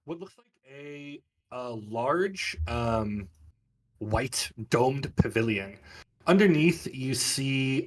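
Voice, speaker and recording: Male game master with a mid-pitched voice. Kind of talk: narrating a tabletop fantasy game.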